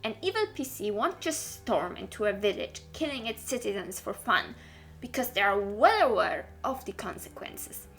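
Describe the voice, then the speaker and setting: A woman's voice: medium pitch, big pitch swings, moderate pace, a bit bright, faint music behind. A woman giving informal, scripted advice as a video creator, addressing the listener directly and with emphasis.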